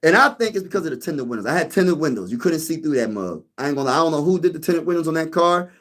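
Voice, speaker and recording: A man's voice: a little high, sing-song, rapid-fire, a bit bright. A man hosting a sports channel in a casual live-stream chat, rambling, repeating himself and calling listeners "bro.". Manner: rambling, repeats phrases, says "bro" a lot. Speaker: YouTube sports channel host.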